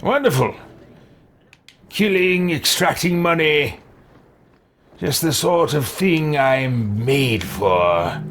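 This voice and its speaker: A man's voice: fairly high pitch, expressive, takes it slowly, long pauses, fairly bright tone, slight background noise, full character voice. A man voicing an arrogant, sinister mage who gloats about his power in a pompous manner, roleplaying over a video game. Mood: gloating.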